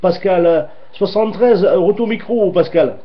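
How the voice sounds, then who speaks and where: A man's voice: high voice, a little slow, muffled recording. A man chatting as a radio operator, hesitant and often repeating his phrases, with call signs. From France.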